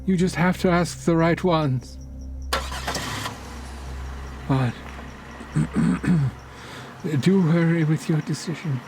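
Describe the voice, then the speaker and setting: Man playing a slow, menacing old cult patriarch. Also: fairly high pitch, noisy background, voice pushed up. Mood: coaxing, menacing. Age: older. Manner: formal, unhurried, silkily courteous speech with deliberate pauses. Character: menacing wealthy old male cult leader, courteous and greedy. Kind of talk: horror audio story character.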